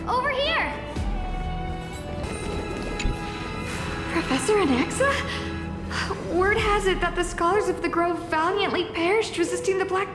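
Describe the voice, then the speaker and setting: A woman playing a courteous young student in a fantasy game, speaking earnestly and formally. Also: extremely high pitch. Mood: excited, relieved. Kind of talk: fantasy video game acting. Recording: echoey hall with music behind.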